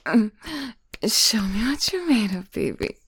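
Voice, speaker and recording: A woman with a husky, breathy voice, pitched high and swinging widely as she whispers and teases. She draws her words out slowly and unhurriedly. The delivery is intimate and close. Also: sing-song. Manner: whispering and moaning, teasing. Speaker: audio roleplay performer. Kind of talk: intimate scripted audio roleplay.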